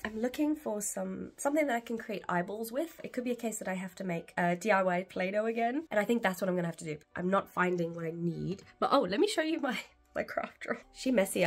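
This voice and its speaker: A woman vlogging at home in a casual, bubbly, chatty way, still sick with a cough. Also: medium pitch. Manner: bubbly, chatty, laughs often. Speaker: craft vlogger. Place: home, spare craft bedroom.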